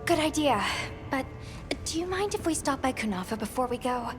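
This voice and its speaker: A caring young female field companion in a game cutscene, polite and earnest. Her voice sits fairly high, over loud music. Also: animated, brisk. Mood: earnest, concerned. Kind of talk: video game cutscene acting.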